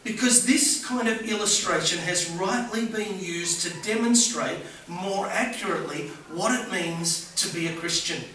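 A middle-aged man delivers a sermon in a small church, leaning into key words with earnest emphasis. His voice sits fairly high. The camera is far back in a very echoey room, with a faint hiss over everything. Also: a little slow, hardly any pauses, sharp tone. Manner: earnest preaching with rising emphasis. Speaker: pastor. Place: small church hall with congregation.

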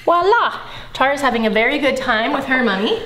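A woman's voice: high voice, expressive, fairly quick, a bit bright, echoey room, slight background noise, low-quality recording. A young woman hosting a casual cooking video, chatting and explaining each step as she cooks in a large home kitchen. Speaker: host.

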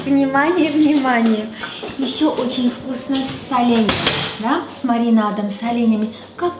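A woman in her thirties, a native Armenian speaker, hosting a casual cooking demonstration in her home kitchen with a chatty, playful manner. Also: a little high. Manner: chatty, playful, slow and unhurried. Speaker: cooking show host. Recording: echoey kitchen with loud music bed.

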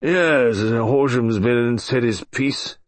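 A man's voice: medium pitch, no echo, close to an everyday voice. A man doing a drowsy, wheezing old colonel. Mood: drawling. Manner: slow, drawling, wheezy. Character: drowsy gloomy old heavyset male colonel. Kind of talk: audiobook character voice.

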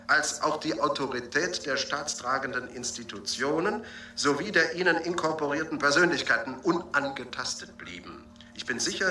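An actor playing a smooth, evasive senator chairing a secret commission in measured, pompous officialese in a radio drama. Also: male voice, mid-range voice, sharp tone. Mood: officious. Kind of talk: German science fiction radio drama acting. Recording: roomy, distant binaural recording over music.

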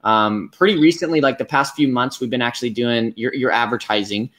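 A man's voice: medium pitch, fairly quick. Young man coaching on a video call. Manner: relaxed, many fillers. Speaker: business coach host. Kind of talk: live video call interview. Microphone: webcam on video call.

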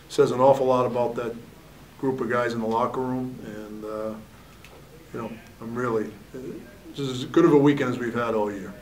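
Hockey coach at a postgame press table. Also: male voice, medium pitch, far from the mic, a little hiss or hum, low-quality recording. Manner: candid, repeats "you know" often. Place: press room at a backdrop. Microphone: table mic, at a distance.

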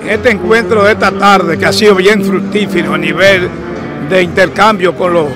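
An older man reports from an open-air ballpark, speaking formally into a handheld mic and often stopping to restart a phrase. His voice sits high for a man. Music plays clearly behind him. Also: steady tone, normal speed. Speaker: field reporter. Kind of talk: on-site sports reporting. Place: outdoors at a baseball stadium.

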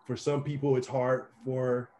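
Mellow-voiced man with an Indiana accent. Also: medium pitch, a little hiss or hum. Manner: relaxed, measured advice-giving. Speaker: meetup organizer. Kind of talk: casual video call chat. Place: small home office. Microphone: video call.

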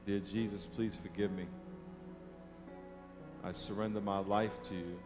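A man in his thirties preaching a sermon on a large church stage into a headset mic, lively and acting out people's grudges. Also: lowish voice, measured pace, music in the background. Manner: animated, acts out petty grudges in a mocking voice. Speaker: pastor.

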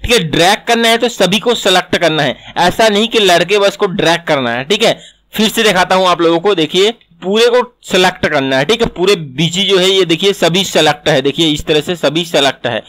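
Higher-pitched man giving a tutorial. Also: a little fast, fairly bright tone. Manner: step-by-step, repetitive explaining. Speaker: animation tutor. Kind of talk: screen-recorded software tutorial.

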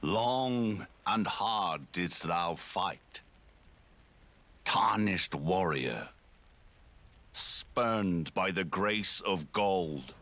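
Deep, gravelly old warrior king. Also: lively intonation, drawn-out words, a bit bright, exaggerated voice. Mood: grave, weary. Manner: archaic, grave, declaiming. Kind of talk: dramatic game cutscene acting. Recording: faint game ambience behind.

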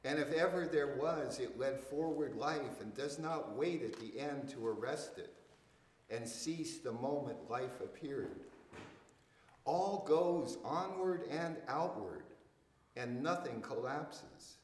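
Slow-reading older man in echoey hall. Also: mid-range voice, a little hiss or hum. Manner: deliberate, measured phrasing. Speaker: poet reading at a public reading. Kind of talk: poetry recitation. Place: indoors in a church hall.

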